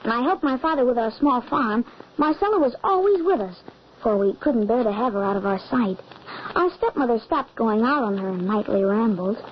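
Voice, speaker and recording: A woman's voice: fairly high pitch, animated, moderate pace, lightly acted. A woman playing an anxious young boy who narrates a grim family tale in earnest, dramatic old-time radio style. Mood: foreboding. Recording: muffled, noisy old radio recording.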